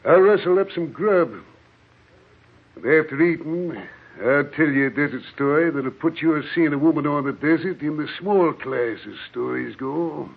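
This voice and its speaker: Folksy desert prospector, tinny old radio. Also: male voice, a little high, normal speed, gravelly. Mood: storytelling. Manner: folksy Western drawl with rustic slang. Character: rough desert prospector living alone, telling grim stories. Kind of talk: old-time radio horror drama acting.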